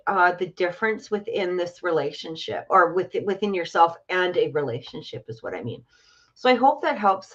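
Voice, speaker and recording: A woman's voice: mid-range voice, highs cut off. A woman hosting a live tarot reading, chatting her way through the cards with lots of "you know". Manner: chatty, explains cards with filler words. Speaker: psychic tarot reader, host.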